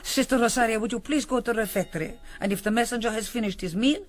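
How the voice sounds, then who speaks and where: A woman playing a brisk, kindly convent Mother Superior in a radio drama, composed and authoritative with a wry touch. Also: on the high side, soft background music, close to an everyday voice. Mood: brisk authority.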